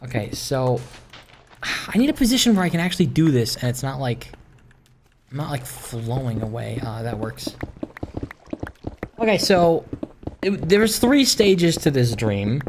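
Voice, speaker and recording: A gaming video creator chatting casually while playing, rambling in a hesitant, halting way and often trailing off. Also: medium pitch, big pitch swings, a little mellow. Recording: clearly noisy, with clicks.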